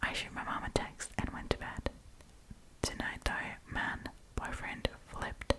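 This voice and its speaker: Female ASMR host, whispered throughout, informal storytime reading and commentary, close-miked. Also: unhurried. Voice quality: breathy unvoiced whisper. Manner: chatty, informal asides. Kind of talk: whispered ASMR, reading online stories aloud with casual commentary. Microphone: close propped-up microphone.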